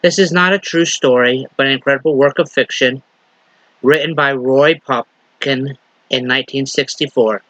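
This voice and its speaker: A male minister at a mid pitch, delivering a sermon at an unhurried pace and pausing on fillers and restarts. A slight lingering cough shows in his voice. The recording is dry, with little room echo. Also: a bit bright, highs cut off. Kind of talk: sermon with story reading.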